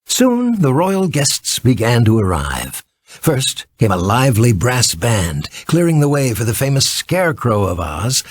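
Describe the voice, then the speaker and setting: A man reading a scripted children's story as an expressive, theatrical narrator. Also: mid-range voice, slightly rough, no room sound.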